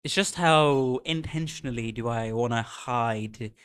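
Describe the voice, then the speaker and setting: Excitable streamer with a light Indian accent. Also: male voice, medium pitch, unhurried, smooth. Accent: Indian, blended with American and British. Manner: excitable, chatty, greets viewers by name. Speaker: streamer reacting to game music. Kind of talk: casual live-stream chat.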